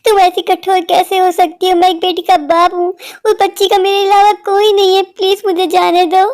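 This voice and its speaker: A woman's voice: very high-pitched, little pitch movement, moderate pace, a little mellow. A woman voicing an anxious, plaintive poor father who sells eggs in a cartoon story. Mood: desperate. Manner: plaintive, imploring. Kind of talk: Hindi cartoon story acting.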